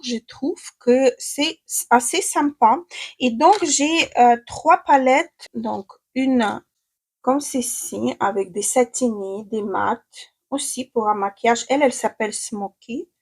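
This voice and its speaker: A young woman with a Ukrainian accent talks her way through a makeup tutorial, often pausing with fillers and restarting words. Her voice sits fairly high and sounds slightly husky and breathy. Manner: hesitant, many fillers and restarts while applying makeup. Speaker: beauty vlogger.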